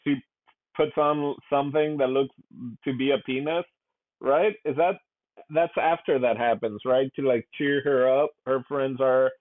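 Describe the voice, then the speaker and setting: A man's voice: medium pitch, measured pace, dry recording. A man co-hosting a casual movie review podcast, rambling with many fillers and frequent laughs. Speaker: podcast co-host. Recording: tinny phone-like sound.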